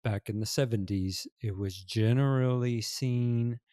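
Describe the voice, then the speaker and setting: A middle-aged man co-hosting a casual podcast conversation, talking in a halting, thoughtful way. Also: mid-range voice, mellow, dry recording, clean studio sound. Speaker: podcast co-host.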